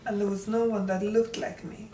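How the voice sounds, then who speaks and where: A woman in her forties, from Uganda, answers interview questions at length in a reflective, storytelling manner. Her voice sits at a middle pitch. It is picked up from some distance in a roomy space with faint background hum. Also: level tone, moderate pace, mellow. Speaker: guest. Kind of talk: conversational interview.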